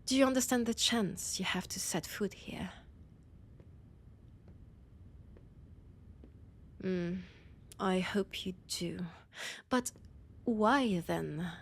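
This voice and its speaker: Female vampire scholar performed by a woman, French accent, imperious, condescending, menacing, unhurried, husky breathy whispers, intimate audio drama. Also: medium pitch. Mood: proud, condescending. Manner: haughty, commanding, deliberate, often dropping to a whisper. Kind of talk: intimate scripted audio roleplay.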